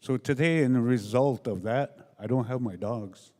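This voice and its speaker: A man's voice: mid-range voice, moderate pace, mellow. An older man making plainspoken, hesitant remarks from his seat at a public assembly, over a hall sound system. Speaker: audience member raising a concern. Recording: echoey large hall over PA.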